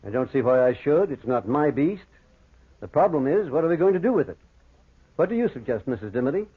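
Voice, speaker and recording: Authoritative doctor, formal and dramatic, rough-edged voice, vintage radio horror, narrow muffled sound. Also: male voice, fairly high pitch, very animated, fairly quick. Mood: grave, controlled. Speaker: actor and narrator. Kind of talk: 1940s radio acting. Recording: muffled, phone-like old radio recording.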